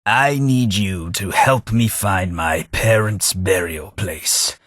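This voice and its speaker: An actor playing a polite, reserved former office clerk in a game, speaking with formal, measured, slightly wistful courtesy. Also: male voice, low voice, a bit bright, no echo, clean studio sound, heavily acted. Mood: earnest. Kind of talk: game character dialogue.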